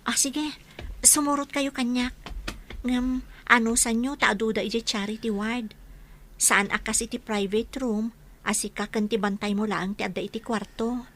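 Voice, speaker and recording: A woman playing a young, excitable housemaid and devoted daughter in an Ilocano radio drama, respectful, anxious and full of questioning exclamations. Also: fairly high pitch, moderate pace, a little hiss or hum. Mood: eager, uncertain.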